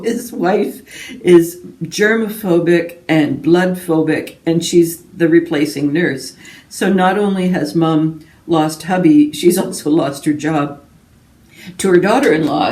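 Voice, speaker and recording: A woman's voice: low voice, warm tone. A woman from Canada chatting casually and ramblingly to her viewers as a book vlogger, in a self-deprecating way. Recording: roomy echo, distant microphone.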